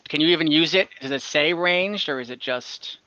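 A man's voice: on the high side, moderate pace, bright, forceful tone, dry recording. A young man on a headset, acting as dungeon master and casually checking rules on a video call. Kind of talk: running an online tabletop game. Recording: dull, dry video-call audio.

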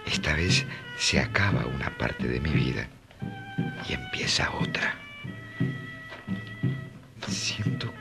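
A man's voice: very deep voice, vocal fry, exaggerated voice. A man playing a grave, reflective young saint in a radio drama inner monologue, solemn and prayerful.

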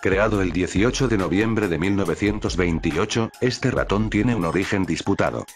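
A man's voice: low voice, moderate pace. A man reading scripted narration as the narrator of a countdown video. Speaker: narrator of a top list video. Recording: music behind the voice. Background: background music.

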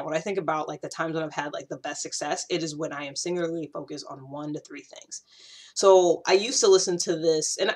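An American woman in her thirties talking casually to camera, conversational and emphatic, repeating points for stress. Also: deep voice. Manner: conversational, animated gesturing, emphatic repetition. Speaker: YouTube host sharing self-growth advice. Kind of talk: casual direct-to-camera talk.

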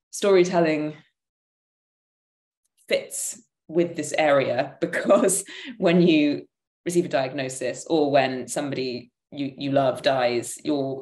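A woman with a very low voice speaks thoughtfully and conversationally, feeling her way through each answer. She sounds like she is on a video call from an echoey room at home. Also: a little mellow. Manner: hesitant, thoughtful, self-correcting. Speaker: interview guest, theatre maker. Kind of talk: reflective conversational interview answers. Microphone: computer microphone on a video call. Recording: roomy, echoing video-call audio.

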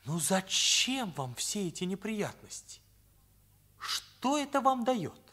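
A troubled, high-voiced teenage schoolboy. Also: male voice, sing-song, slow, bright, forceful tone, full character voice. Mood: challenging. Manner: earnest, searching, hesitant. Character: troubled, sincere ninth-grade schoolboy, questioning and vulnerable. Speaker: actor in a radio play. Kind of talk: Soviet radio drama acting.

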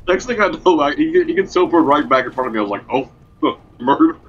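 A man playing an online game with friends, relaxed and laughing a lot, heard over voice chat. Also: on the high side, fast, highs cut off, slight background noise. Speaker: fan player. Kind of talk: casual gaming chat.

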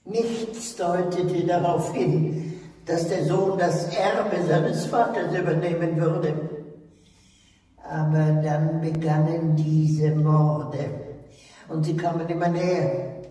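An actress playing a frail, remorseful old aristocratic woman in a radio play, soft and weary. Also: sits low, steady tone, takes it slowly. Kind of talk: German audio drama acting. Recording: very echoey hall, slightly distant.

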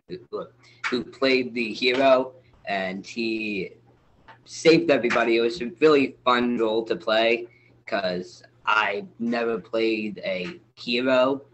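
A man's voice: mid-range voice, fairly bright tone. A young man casually describing his experience as an actor over a noisy video call. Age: young adult. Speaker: amateur actor on a panel. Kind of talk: casual recollection.

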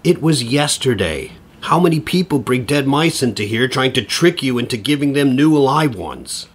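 A man's voice: mid-range voice, lively intonation, normal speed, slightly rough. An actor playing an exasperated, sarcastic, sweary middle-aged father in a podcast play.

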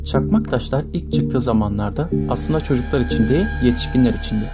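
A man reads scripted narration in speech, in a measured, formal way. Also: mid-range voice, lively intonation. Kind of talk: scripted narration of trivia video. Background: background music.

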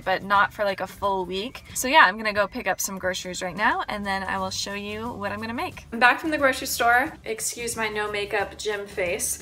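A woman's voice: mid-range voice, pushed and bright. A young woman in her twenties casually talking viewers through a simple meal prep in her home kitchen. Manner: chatty, explaining steps to viewers. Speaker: vlogger. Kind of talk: casual cooking vlog.

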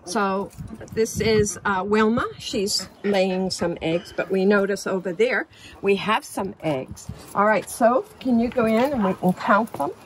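A middle-aged woman hosting a storytime for young children, explaining things simply and haltingly, recorded outdoors by a camera a little way off. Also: medium pitch. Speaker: children's farm storytime host. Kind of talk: unscripted show-and-tell for young children. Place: outdoors in a backyard farm pen. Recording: noisy outdoor recording with clicks.

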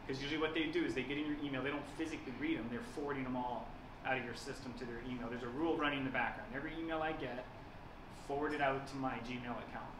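A man's voice: mid-range voice, fairly even intonation, rapid-fire, background noise. Guy in his 30s explaining stuff at a lunch panel, handheld mic, far off and echoey, clattery room. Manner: explanatory, many fillers and restarts. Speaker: company executive giving a talk. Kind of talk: conversational panel presentation. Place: banquet room at a lunch event. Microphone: handheld mic, filmed from a far camera.

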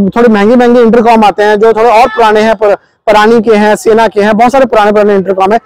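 A man's voice: very high-pitched, a little mellow, low-quality recording. A man, explaining a product to camera in a vlog review, mixing in English technical words. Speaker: motorcycle gear vlogger. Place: outdoors on a busy market street.

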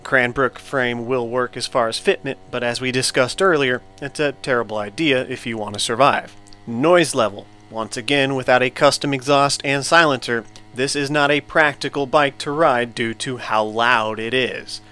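Bright-voiced man narrating a review. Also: medium pitch, steady tone, normal speed, smooth. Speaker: vlogger, motorized bike reviewer.

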